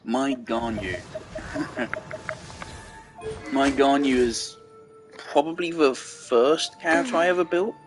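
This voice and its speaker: A man's voice: medium pitch, slow pace, pauses often, over music. A man giving casual gameplay commentary, rambling and thinking aloud as he goes. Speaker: game streamer.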